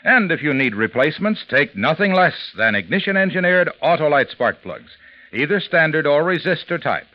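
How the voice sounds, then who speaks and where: A man's voice: a little high, big pitch swings, normal speed. A man reading a 1940s scripted radio advertisement as an announcer, with an emphatic, polished sales delivery. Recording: dull vintage radio recording.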